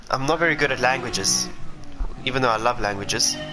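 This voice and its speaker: A man's voice: mid-range voice, expressive, normal speed, over music, poor audio. A South African man, a racing driver, chatting and thinking aloud while streaming a sim racing game. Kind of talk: live gameplay commentary. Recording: game engine noise underneath. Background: racing game car sounds.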